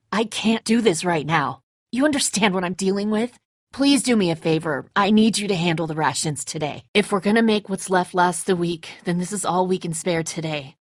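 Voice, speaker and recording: A woman's voice: mid-range voice, bright, forceful tone, dry recording, studio quality. An actress playing a tense, defensive woman who leads a group of survivors in a dramatic video game, blunt, confrontational and exasperated. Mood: weary, resentful.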